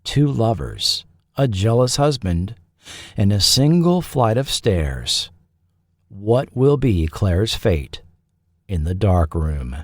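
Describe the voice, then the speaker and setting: A man hosting and narrating a scripted documentary podcast, with a measured delivery and dramatic pauses. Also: lowish voice, very animated, a little mellow, no echo, studio quality.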